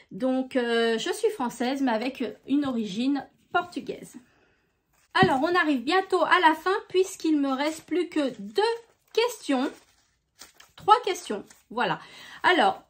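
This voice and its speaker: A woman from France hosting her own channel, chatting gently through viewer questions with many small hesitations. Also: sits high, lively intonation, takes it slowly. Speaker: YouTube crafts channel host. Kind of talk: casual FAQ video answering viewer questions.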